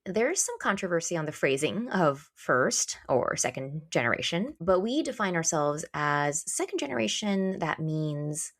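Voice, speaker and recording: A woman's voice: low voice, sing-song, normal speed, hardly any pauses. An American woman, a pharmacist co-hosting a podcast, chatting casually with a hesitant, filler-heavy manner. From United States.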